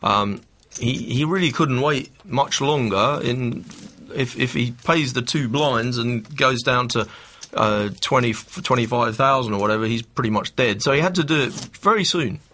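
A man's voice: medium pitch, moderate pace, pushed and bright. A man giving expert poker commentary, analytical and full of restarts. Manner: analytical, restarts and self-corrections.

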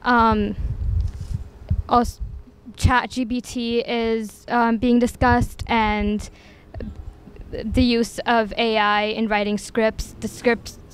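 A woman's voice: fairly high pitch, little pitch movement, drawn-out words, smooth, poor audio. Young woman interviewing on the street. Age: young adult. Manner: hesitant, many ums. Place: outdoors on a city street. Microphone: handheld mic. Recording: faint street noise behind.

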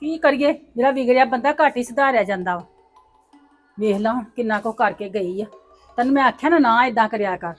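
A woman's voice: on the high side, a little fast, soft background music, lightly acted. An actress playing a worried middle-aged mother who lectures her daughter in a family drama, speaking in a reproachful way.

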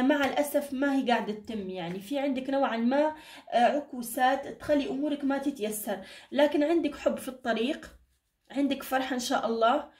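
A woman speaks at a brisk clip in an echoey room, a little away from the microphone. She reads cards informally and reassuringly, with endearments and blessings, her voice somewhat tired. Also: fairly high pitch, a little mellow, distant mic. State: tired, strained voice. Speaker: card reader, channel host. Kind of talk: informal fortune-telling reading to camera. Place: indoor room at a table.

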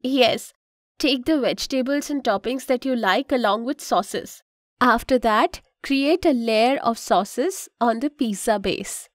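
A woman's voice: on the high side, unhurried, no echo, clean studio sound, close to an everyday voice. A woman voicing a kind, instructive mother in a children's cartoon, with an Indian accent and a patient, explanatory manner. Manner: patient, explanatory, gently cautioning.

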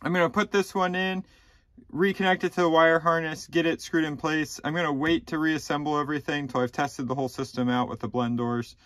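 A man in his thirties calmly walking through a car repair step by step, with a few ums, recorded inside a car. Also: a little high, a little fast, no room sound. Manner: plain, methodical instructions with frequent ums. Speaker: DIY repair channel host. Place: inside a car, small enclosed cabin.

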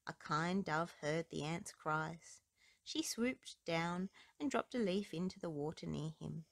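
Low, creaky woman reading children's stories. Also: expressive, measured pace, no echo, clean studio sound. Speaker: storybook reader. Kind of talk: read-aloud children's story narration.

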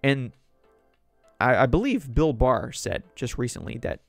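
Solo podcast host, man, going hoarse, rambles through news articles from a desk mic, dry close sound with faint music under. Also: mid-range voice, very animated, a little slow, dry recording. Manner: rambling, conversational, reads articles aloud. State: sore throat, losing voice. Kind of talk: solo political commentary.

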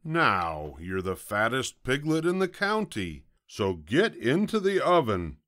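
A man voicing a hungry, gullible male fox in a children's storybook, with a gruff, blustery, gloating manner. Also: mid-range voice, huge pitch swings, slow, a bit bright, voice pushed up, full character voice. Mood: gloating, bossy. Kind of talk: children's storybook read-aloud.

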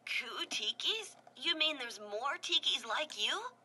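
A woman's voice: high-pitched, big pitch swings, slow, sharp tone, slight background noise, voice pushed up, full character voice. A woman voicing a nervous, goofy young guy who gets flustered and jokes his way through. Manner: flustered, jokey.

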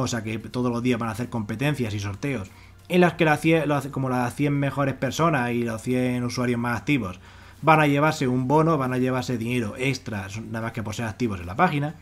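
A man's voice: mid-range voice, fairly quick. A man with an accent from Spain giving a casual, wordy screen-share walkthrough as an online presenter, often repeating himself to explain. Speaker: YouTube presenter of money-making websites. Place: voice-over on a screen recording.

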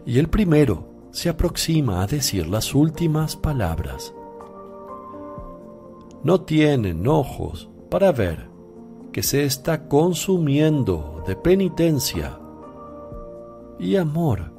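An actor playing a grave, compassionate and firm holy teacher in a Spanish radio drama, speaking solemnly and deliberately. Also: male voice, a little high, very animated, mellow, faint music behind, full character voice. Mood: solemn, tender.

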